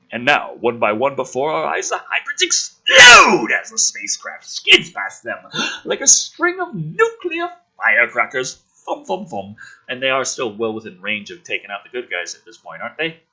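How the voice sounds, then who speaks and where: Animated, husky man with a forceful edge. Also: mid-range voice, poor audio. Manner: sarcastic, exasperated, profane; theatrical delivery with sudden emphasis. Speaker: host reading and mocking a film script. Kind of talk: dramatic script reading with sarcastic running commentary.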